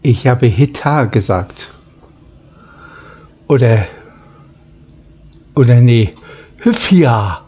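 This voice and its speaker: A man hosting a casual let's play, talking in a halting, deliberate way and slipping into role-play. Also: medium pitch, lively intonation, dark tone, slightly rough.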